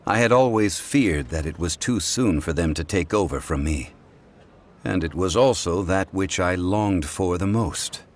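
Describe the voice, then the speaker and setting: Ancient god for a fantasy game: deep, composed, measured, stately diction. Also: male voice, lively intonation. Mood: serene, wistful. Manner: formal, measured, eloquent. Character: ancient dignified god in mortal man's form, calm. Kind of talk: video game voice acting.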